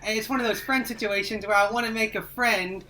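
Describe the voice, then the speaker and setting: A woman's voice: on the high side, steady tone, fairly quick. A woman chatting casually as a podcast co-host over a video call. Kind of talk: casual chat. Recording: faint ambient noise on video call.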